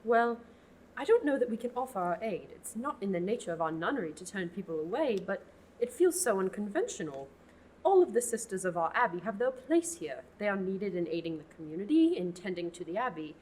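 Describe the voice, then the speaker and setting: A woman's voice: mid-range voice, big pitch swings, fairly quick, a little mellow, a little hiss or hum, natural voice, low-quality recording. A teen girl playing a fussy, exasperated abbess. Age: teens. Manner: sighing and theatrical. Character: exasperated, fussy middle-aged abbess nun. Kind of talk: student radio play.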